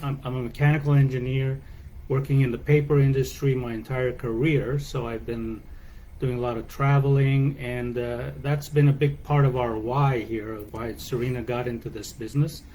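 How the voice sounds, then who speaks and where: A man with a mid-pitched voice tells his story in a calm, measured way. He speaks from a hotel room over a faint hum of room ambience. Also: rough recording. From Canada. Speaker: guest. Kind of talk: casual interview answers.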